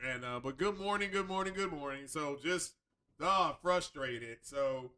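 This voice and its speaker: Guy with a cold, raspy, kinda high voice, rambling stream chat. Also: a little slow, bright, forceful tone. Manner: rambling, chatty, loose restarts. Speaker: tech channel host. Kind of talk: casual live-stream chat.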